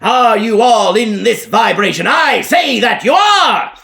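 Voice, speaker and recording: A harsh, brash male preacher, bellowing high and hard like a fairground barker. His voice leaps wildly up and down as he hawks salvation in a storybook reading. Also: expressive, a little slow, vocal fry, full character voice. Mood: ecstatic. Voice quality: harsh, hollering. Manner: shouted sales-pitch preaching.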